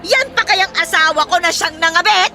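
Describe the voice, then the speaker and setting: A woman playing a bitter, sharp-tongued betrayed older wife in a Filipino radio drama, scornful, taunting and emphatic. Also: very high voice, moderate pace, soft background music, exaggerated voice.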